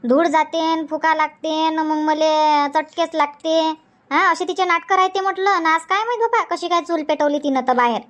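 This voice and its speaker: Cartoon comedy housewife, played by an actor: very high voice, chatty, insistent nagger, rural Vidarbha Marathi accent. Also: moderate pace, fairly bright tone. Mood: gossipy.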